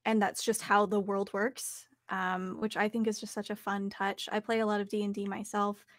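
A woman's voice: medium pitch, little pitch movement, normal speed, no echo, clean studio sound. A young adult woman with a Canadian accent, chatting casually as an interview guest. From Calgary, Canada. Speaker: interview guest, actor and voice artist. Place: small home studio, video call.

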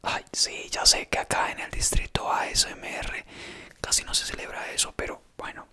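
Man, whispered ASMR roleplay, crisp bright whisper, fussing and soothing. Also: normal speed. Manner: soft whisper throughout, doting and gullible boyfriend act.

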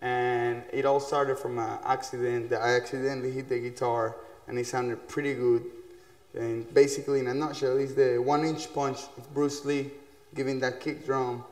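A man's voice: medium pitch, normal speed. Ecuador-born man talking in an echoey room. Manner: relaxed, loose and chatty, with fillers. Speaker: musician. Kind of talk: casual interview talk. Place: small dark performance room, indoors. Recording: echoey room with long reverb.

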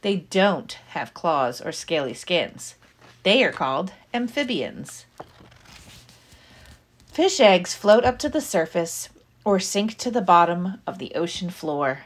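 A woman reading a children's picture book aloud like a teacher, with deliberate, expressive phrasing for young listeners. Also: lowish voice.

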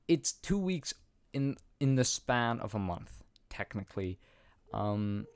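An eighteen-year-old man from Iceland hosts a relaxed home Q&A, speaking slowly in a somewhat low voice. He rambles, loses his thread, and laughs at himself between ums. The sound is dry and close, from a studio mic in a small room. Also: dry recording. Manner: rambling, self-deprecating, lots of ums and laughs. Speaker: channel host answering viewer questions. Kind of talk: casual Q&A chat. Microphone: studio condenser mic with pop filter, wearing headphones.

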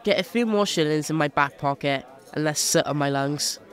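An actor playing a cheeky, boastful young chimney sweep in an audio drama. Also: male voice, fairly high pitch, normal speed, a faint crowd, close to an everyday voice.

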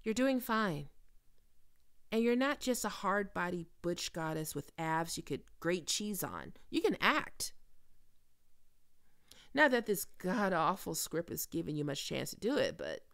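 A woman's voice: lowish voice, very animated, unhurried, gravelly, a bit bright, exaggerated voice. A woman playing a demanding, sardonic, flirty diva film star in an ASMR roleplay. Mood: wry, reassuring. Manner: sardonic, swearing, teasing.

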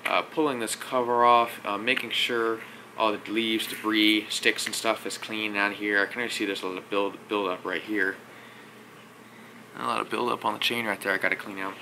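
A young man talks through his maintenance chores into a close action camera, his voice bright and a little tense. He speaks in a loose, unscripted way, stopping often for uh and um. A faint hum of noise sits behind him in the workshop. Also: lowish voice, fairly quick, poor audio. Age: young adult. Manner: relaxed, talks while working, frequent uh and um. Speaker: vlogger doing equipment maintenance. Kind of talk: casual how-to vlog. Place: workshop garage, indoors.

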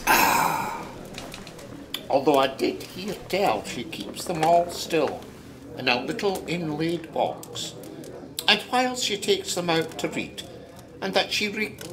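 An actor playing a wistful, gossipy Scottish narrator in a radio drama, musing conversationally with hesitant asides. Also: male voice, on the high side, lively intonation, slow pace, a crowd in the background, poor audio. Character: wistful, gossipy male Scottish storyteller, fond of his friend.

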